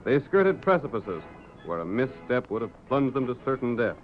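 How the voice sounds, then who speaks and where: A man's voice: mid-range voice, big pitch swings, moderate pace, slight background noise. A man narrating a radio western as announcer, in dramatic scripted 1930s style. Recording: muffled, band-limited 1930s radio recording.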